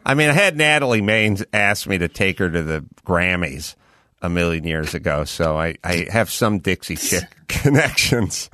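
A man's voice: low voice, lively intonation, normal speed, bright, forceful tone, dry recording. A man hosting a casual studio chat, joking and laughing often while a song plays behind him. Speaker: podcast host.

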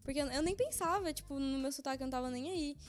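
A young woman chats in a relaxed, slangy way. Her voice is high and easygoing. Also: racing. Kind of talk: casual chat.

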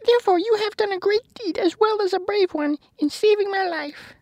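A man voicing a tiny, regal queen of the field mice in a squeaky, thin falsetto, as a storybook character. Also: moderate pace, darkish tone, dry recording.

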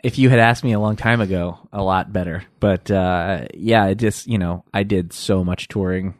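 A man with a nasal voice, a podcast guest chatting casually, halting often and restarting his sentences. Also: low-pitched, steady tone, no echo, clean studio sound. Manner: hesitant, halting with many restarts. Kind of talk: casual chat.

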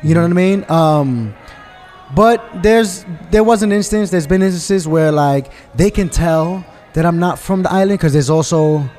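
Man from New York, emphatic, checks in with listeners, mellow tone, faint music behind. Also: on the high side, moderate pace. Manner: emphatic, keeps asking "you understand?". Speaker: guest. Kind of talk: casual podcast conversation.

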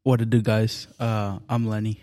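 A man's voice: on the low side, level tone, moderate pace, crowd noise. A man chatting as a podcast guest, giggly and a little bashful. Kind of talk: casual podcast chat.

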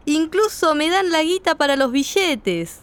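A woman's voice: sits high, expressive, a little slow. A woman with an Argentine Spanish accent, playing a young woman telling a friend her news in a recorded dialogue.

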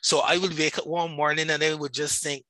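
A man from Barbados with a Barbadian accent, chatting casually about his own work in an animated, emphatic way full of asides. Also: a little high, a little fast, no echo. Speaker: podcast guest, writer and self-publishing coach. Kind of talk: casual conversational self-promotion.

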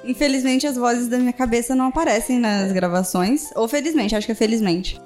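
A young woman from Alagoas with an Alagoan Brazilian accent, co-hosting a casual podcast chat with deadpan, self-mocking humor. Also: on the high side, fairly quick, faint music behind. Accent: Alagoan Brazilian Portuguese. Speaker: podcast co-host.

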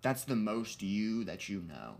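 A man's voice: on the low side, big pitch swings, measured pace, vocal fry. A man in his early twenties talking casually and reflectively on a podcast, earnest and rambling, with plenty of slang. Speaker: podcast co-host.

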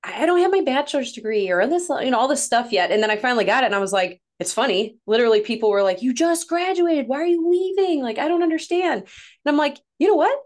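A woman in her thirties with a Midwestern US accent, a podcast guest who talks in a chatty way, laughs often and gets lively when quoting others. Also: high-pitched, quick pace. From Missouri, USA. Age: 30s. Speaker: guest, women's coach. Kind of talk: casual podcast interview.

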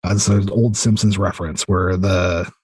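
A man talking as a podcast guest in casual interview chat, enthusiastic, informal and rambling, with a slightly husky voice. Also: low-pitched, flat delivery, moderate pace, a little mellow, no room sound. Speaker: podcast guest, audio drama creator.

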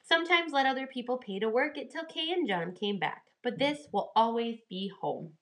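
Woman reading as a folksy, bright-voiced old grandmother. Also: a little high, lively intonation, normal speed. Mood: nostalgic. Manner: folksy, affectionate. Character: warm, wistful old grandmother on the family farm. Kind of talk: children's storybook read aloud.

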